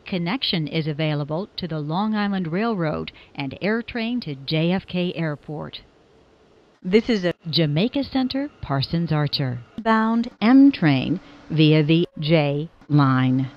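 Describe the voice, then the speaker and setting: A woman delivers scripted transit announcements in a slightly low voice. Her delivery is slow and formal, with lively rises and falls between stitched phrases. The recording is dull and lo-fi. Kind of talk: scripted train station announcements.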